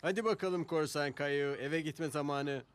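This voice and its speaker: A man playing a patient, easygoing father in a Turkish cartoon dub, explaining things gently and thoughtfully. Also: a little high, unhurried, very noisy, natural voice. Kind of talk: Turkish animated series dub. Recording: music behind.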